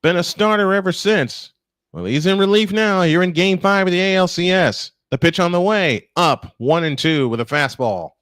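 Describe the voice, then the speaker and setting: A man's voice: sits high, moderate pace, a bit bright, clean tone, no echo. Punchy male baseball announcer, dry close sound. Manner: punchy, clipped announcer phrasing. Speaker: play-by-play announcer and host. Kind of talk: live baseball play-by-play with casual chat.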